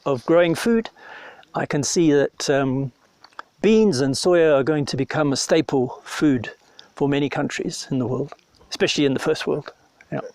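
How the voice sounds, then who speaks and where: A man from Zimbabwe, a farm technical advisor, talking in a documentary interview, relaxed and pausing often with ums. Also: on the high side, no echo. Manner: relaxed, hesitant with many ums.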